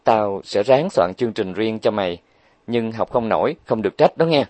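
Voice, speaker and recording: A man's voice: lowish voice, lively intonation, normal speed, a little mellow, no echo, close to an everyday voice. A man voicing a reserved, earnest young woman who works as a tutor, speaking cautiously and sincerely.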